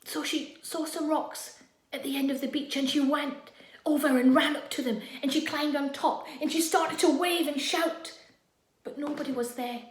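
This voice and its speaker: A woman telling a children's story expressively and dramatically, moving between hushed and louder delivery. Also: high voice, moderate pace. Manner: expressive, swinging from hushed to loud. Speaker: storyteller, primary school staff reading to children. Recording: echoey room.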